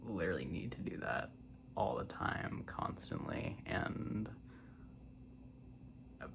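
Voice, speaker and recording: A man in his twenties rambling casually in a soft-spoken ASMR style, drifting between a breathy murmur and a half-whisper. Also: sits low, huge pitch swings, slow pace. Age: 20s. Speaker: ASMR creator.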